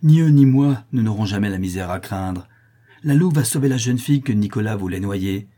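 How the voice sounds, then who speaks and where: Audiobook role: tormented decent son, compassionate yet indignant, dark husky voice, done by a male reader. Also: lowish voice, a little fast. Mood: firm indignation. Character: anguished, honest son of a condemned criminal mother.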